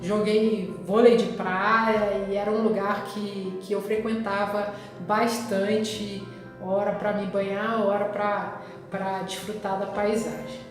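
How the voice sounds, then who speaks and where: A woman with a Rio de Janeiro Brazilian accent, telling personal travel memories casually and reflectively, often pausing mid-sentence. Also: medium pitch, little pitch movement. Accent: Rio de Janeiro Brazilian Portuguese. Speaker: vlogger recounting travel memories. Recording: very echoey, reverberant room with music.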